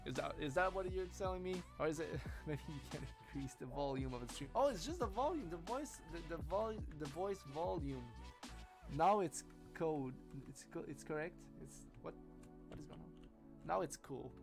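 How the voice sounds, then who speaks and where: A man's voice: on the high side, animated, moderate pace, over music. A man live-streaming his coding work, chatting casually to viewers with lots of fillers and enthusiasm. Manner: rambling and chatty, many fillers, enthusiastic about his tools.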